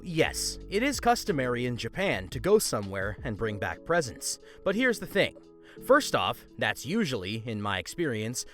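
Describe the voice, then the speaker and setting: A man hosting a story channel, reading online stories aloud and adding his own comments in an animated way. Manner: animated, swings pitch for emphasis. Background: faint background music.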